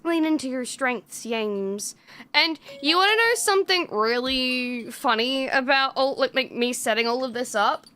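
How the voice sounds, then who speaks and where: A woman's voice: sits high, animated, a little slow, bright, forceful tone, clear, resonant tone. A young woman streamer chatting casually with viewers, swearing freely and laughing often. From Australia.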